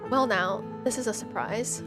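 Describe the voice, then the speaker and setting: Girl streamer w higher voice, reads game lines out loud n reacts all slangy, music playing behind. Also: normal speed. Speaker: streamer playing a horror visual novel. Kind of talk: livestream let's play, reading game text aloud and reacting.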